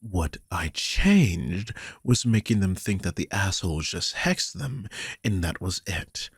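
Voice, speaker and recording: A man's voice: low-pitched, sing-song, slow pace, no room sound, clean studio sound, heavily acted. A man playing a worried, guilty vampire king who is protective of his charge, confiding wearily in an audio roleplay. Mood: defensive, troubled. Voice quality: husky, breathy. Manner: confiding, weary, sighing, swears. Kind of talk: dramatic audio roleplay, one side of a conversation.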